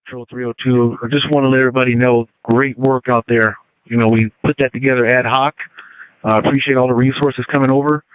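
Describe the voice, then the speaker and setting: A man's voice: mid-range voice, flat delivery, fast, smooth, no echo, narrow, tinny audio. An American male police officer giving plain-spoken instructions to units over a police radio. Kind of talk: operational radio dispatch talk. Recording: band-limited police radio transmission.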